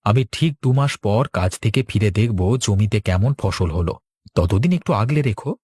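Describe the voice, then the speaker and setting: A man's voice: lowish voice, lively intonation, moderate pace, darkish tone, breathy edge, no room sound, clean studio sound. A man playing an earnest, resolute young village farmer, a dutiful son, in a cartoon. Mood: resigned, dutiful. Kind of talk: Bengali cartoon dialogue.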